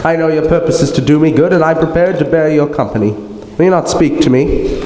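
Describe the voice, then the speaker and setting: A man playing a fearful, repentant old miser in a live radio play, with halting, dramatic pleading. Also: a little high, background noise, natural voice. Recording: echoey hall with long reverb.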